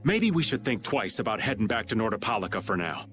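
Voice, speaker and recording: A man's voice: fairly low pitch, very animated, quick pace, music in the background. A man playing an easygoing but wary male adventurer in a video game, with wry, folksy phrasing. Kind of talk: video game cutscene voice acting.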